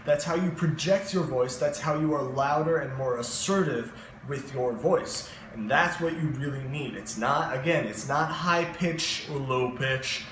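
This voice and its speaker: A young man vlogging voice advice in a casual, very expressive way, with many fillers. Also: measured pace, few pauses, slight background noise. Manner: very expressive, swings in pitch and loudness, many fillers. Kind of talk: casual advice monologue to camera. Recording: echoey room.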